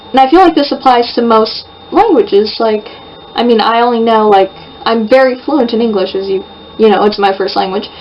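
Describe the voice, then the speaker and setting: A young woman from the United States talks casually and rambles, with plenty of fillers and restarts. She sounds a bit distant from the microphone, and the recording is slightly muffled. A faint hiss runs underneath her voice. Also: mid-range voice. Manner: chatty, rambling, lots of fillers. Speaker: vlogger. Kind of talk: casual chat to camera.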